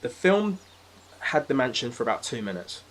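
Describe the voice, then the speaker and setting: A man's voice: medium pitch, big pitch swings, moderate pace, faint music behind. A man chatting casually as a podcast guest about films and games, informal and descriptive. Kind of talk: casual film and game chat.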